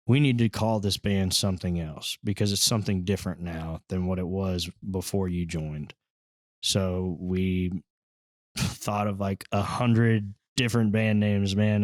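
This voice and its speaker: A man's voice: fairly low pitch, no echo. A man from Texas, a band's lead singer talking in a casual, modest, plain-spoken way as a podcast guest. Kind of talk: casual chat.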